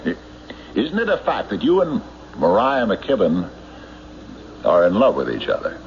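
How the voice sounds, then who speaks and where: Deep-voiced, relentless small-town sheriff. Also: male voice, huge pitch swings, highs cut off, exaggerated voice. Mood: accusatory. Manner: deliberate, probing, accusatory, with pointed pauses. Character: shrewd, relentless small-town sheriff interrogating murder suspects. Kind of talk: old-time radio drama acting. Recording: noisy band-limited old radio broadcast recording.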